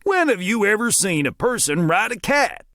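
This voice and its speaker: A man's voice: sits high, big pitch swings, normal speed, sharp tone, no room sound, clean studio sound, pitched above the performer's own voice, heavily acted. A man voicing a bearded Wild West sheriff, exasperated and emphatic.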